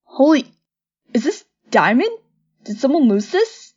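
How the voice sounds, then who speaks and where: Woman as a bold, high-voiced monster girlfriend. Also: sing-song, a little slow, darkish tone, lo-fi sound. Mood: startled. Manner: casual, punchy, exclamatory. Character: bold, casual, affectionate female monster girlfriend. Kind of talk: dramatic fan fiction reading.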